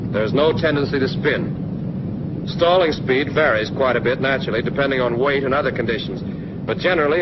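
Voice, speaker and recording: A man's voice: medium pitch, muffled recording, background noise. An American man narrating a 1940s military instructional film, addressing the trainee directly and instructively on an old film soundtrack.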